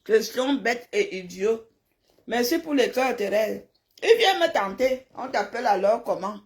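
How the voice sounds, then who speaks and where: A woman speaks to viewers on a live stream in a fairly high voice. She takes her time, drawing her points out slowly. Her delivery is blunt and scolding, and she repeats phrases for emphasis. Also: lively intonation. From francophone Africa, grew up in a village. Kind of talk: casual live stream chat, replying to viewers' comments.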